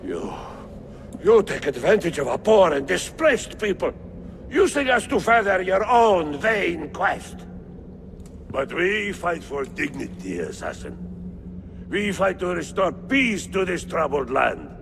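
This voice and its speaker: A man's voice: sits high, sing-song, slow, fairly bright tone, full character voice. A man playing a proud, grandiose Byzantine Templar lord in a video game, with an oratorical manner. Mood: bitter, defiant. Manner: pompous, oratorical, declamatory. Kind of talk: dramatic video game cutscene acting. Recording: game audio with clear background noise.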